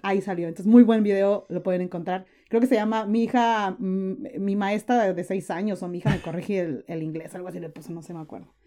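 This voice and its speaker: A woman's voice: mid-range voice, fairly even intonation, fairly quick, hardly any pauses, dark tone. A woman in her thirties from Mexico with a Mexican accent, chatting as a podcast guest, full of fillers and restarts. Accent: Mexican Spanish. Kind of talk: casual interview chat.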